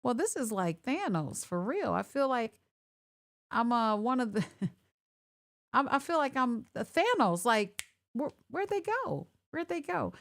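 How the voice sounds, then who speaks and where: A woman hosting a casual online interview over a video call, chatty and animated as she works through connection glitches. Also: on the high side, a little slow. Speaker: host.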